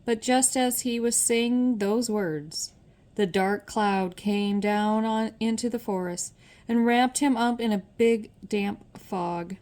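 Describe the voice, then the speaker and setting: An older woman reading a children's story aloud as a storyteller, measured and expressive. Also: a little high.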